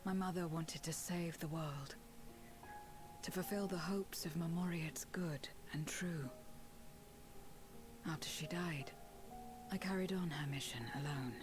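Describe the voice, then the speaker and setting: A woman playing a grave, distrustful warrior in a video game, terse and deliberate, with a hushed delivery. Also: deep voice, fairly even intonation. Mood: somber, bitter. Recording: game music behind the voice.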